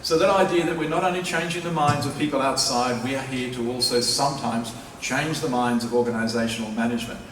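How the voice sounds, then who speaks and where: A man's voice: mid-range voice, fast, hardly any pauses, a bit bright. A man giving a public lecture as a professor, speaking in an emphatic, persuasive way and repeating key phrases for stress. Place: large lecture hall at a university. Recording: echoey hall, distant mic, faint noise.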